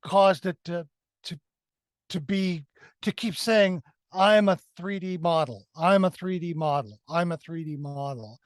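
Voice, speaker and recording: High-pitched man giving a conversational critique. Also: measured pace, clean tone, no room sound, studio quality. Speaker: film review panelist.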